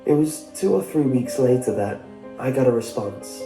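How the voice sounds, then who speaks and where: A man's voice: medium pitch, expressive, normal speed, reverberant. A man reading a scripted first-person story as a narrator. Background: background music.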